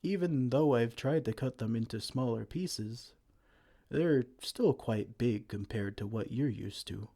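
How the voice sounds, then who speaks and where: A man voicing a kind, gentle male giant who cares for a tiny human, speaking softly and soothingly in an ASMR roleplay. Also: mid-range voice, a little slow. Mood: tender. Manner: soft-spoken, soothing, tender pet names.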